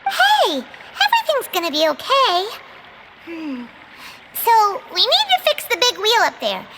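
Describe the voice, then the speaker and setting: A squeaky, chirpy little fairy. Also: female voice, huge pitch swings, measured pace, heavy background noise, full character voice. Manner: chirpy and encouraging. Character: tiny, perky female fairy companion.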